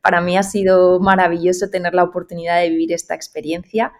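Brief: female host, low gravelly voice, thoughtful with frequent fillers. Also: level tone, fast. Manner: thoughtful, uses many fillers. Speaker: host, hospital pharmacist. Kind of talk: interview conversation.

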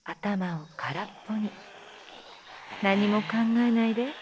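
A woman playing a soothing teacher who leads a guided meditation in a voice drama, counting and instructing in gentle, measured phrases. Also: mid-range voice, slight background noise, lightly acted. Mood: gently insistent. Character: soothing female teacher leading meditation amid zombie threat.

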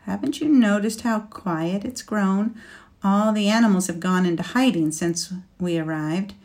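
A woman's voice: medium pitch, a little slow, lightly acted. A woman reading as a fierce, grieving warrior maiden. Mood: insistent. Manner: passionate, rising to near shouting. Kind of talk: audiobook character reading.

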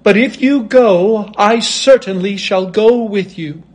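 A man's voice: high-pitched, slow pace, pitched above the performer's own voice. A man voicing a loyal, mournful tin woodman in a storybook, with an earnest manner.

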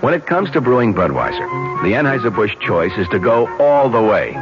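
A man's voice: mid-range voice, sing-song, a little fast. An American man reading a conversational, persuasive radio commercial. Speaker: commercial announcer. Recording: muffled old radio recording. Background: loud music.

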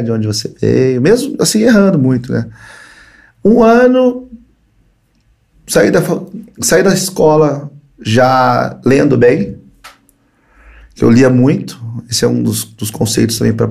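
A man's voice: mid-range voice, vocal fry. A middle-aged man from Brazil, a company CEO, telling stories animatedly as a podcast guest, dropping "cara" and "né" often. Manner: animated storytelling, frequent "cara" and "né". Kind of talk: casual podcast conversation. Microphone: podcast studio mic.

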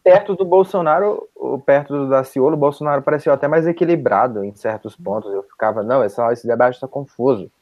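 A man's voice: mid-range voice, quick pace, hardly any pauses. A man with a Brazilian accent giving political commentary as a panelist, on a dull, lo-fi recording. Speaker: journalist on a podcast panel.

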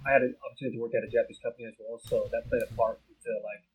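A man's voice: mid-range voice, level tone, very fast, low-quality recording. Laid-back American man, easygoing chat. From United States. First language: Vietnamese. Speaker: podcast co-host. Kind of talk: casual chat.

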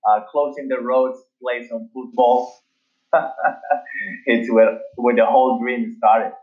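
A man's voice: medium pitch, little pitch movement, slow pace. A middle-aged man from Ecuador with an Ecuadorian Spanish accent, telling his career story in an interview with many fillers and restarts. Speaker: sports agency founder. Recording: tinny phone-like line.

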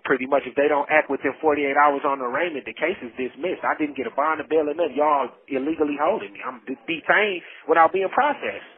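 A man's voice: a little high, fast talker, few pauses. A man giving personal testimony over a telephone line, speaking in an earnest, colloquial, pleading way. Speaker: storyteller, incarcerated caller. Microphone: phone handset.

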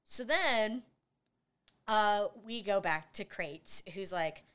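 A woman's voice: medium pitch, expressive, takes it slowly, a bit bright, clean tone. A woman co-hosting a podcast, recapping an old film in casual chat, laughing often and acting out characters' lines. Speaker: podcast co-host.

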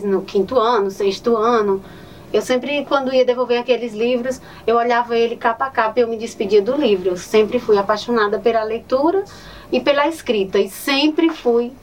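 A woman with a Northeastern Brazilian accent, a teacher answering interview questions earnestly and often adding "né". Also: on the high side. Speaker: teacher and poet, interview guest. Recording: distant mic with faint ambient noise.

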